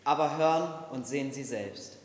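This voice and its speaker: A man's voice: mid-range voice, normal speed, slight background noise. Young man narrating solemnly in a hall. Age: young adult. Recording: echoey hall recording.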